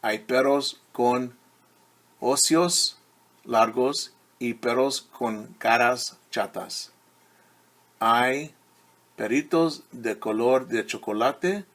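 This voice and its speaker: A man teacher reading a picture book aloud to children in an expressive, deliberate way, with wondering asides. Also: medium pitch. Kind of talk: storytime read-aloud with commentary for children.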